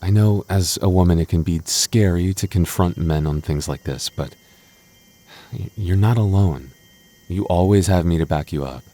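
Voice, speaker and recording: Young male lover played by a voice actor, deep and soft-toned, possessive yet devoted, gets defensive, intimate roleplay. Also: moderate pace, close to an everyday voice. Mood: annoyed, possessive. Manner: stammering, defensive, teasing asides. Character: jealous, protective young boyfriend, defensive but loving. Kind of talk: intimate boyfriend audio roleplay.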